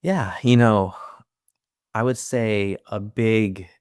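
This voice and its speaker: A man's voice: lowish voice, steady tone, slow, a little mellow, smooth, no echo, studio quality. A man in his thirties, raised in America speaking Chinese first at home, answering interview questions earnestly with frequent restarts. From United States. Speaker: financial advisor, interview guest.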